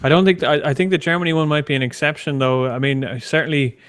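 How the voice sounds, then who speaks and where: An Irish man on a chat panel, speaking haltingly with many uhs. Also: fairly high pitch, fairly even intonation, a bit bright, clear, resonant tone, dry recording, clean studio sound. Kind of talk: casual panel discussion.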